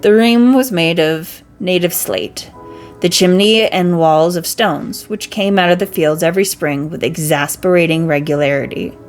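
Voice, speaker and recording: A woman's voice: on the low side, normal speed, soft background music. A woman reading a fantasy story aloud as an amateur, sometimes stumbling over words. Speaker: amateur reader.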